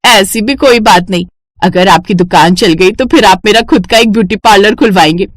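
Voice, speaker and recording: A woman playing a vain, sharp-tongued village wife in an audio story. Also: mid-range voice, normal speed, no echo, natural voice. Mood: earnest.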